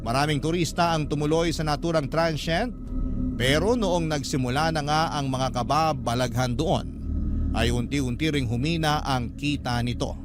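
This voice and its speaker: Casting: Filipino male narrator, slightly high voice, scripted radio drama storytelling with clear background music. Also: normal speed.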